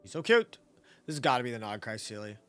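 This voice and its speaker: A man's voice: mid-range voice, sing-song, quick pace, fairly bright tone. A man streaming a video game, reacting casually and expressively in slangy exclamations. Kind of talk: casual live gameplay reaction commentary. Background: game music.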